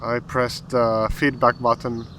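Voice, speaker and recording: A man with a Finnish accent, a language teacher calmly explaining an app as he tries it, thinking aloud with pauses. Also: medium pitch, a little hiss or hum. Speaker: language teacher and channel host. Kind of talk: explaining an app while trying it.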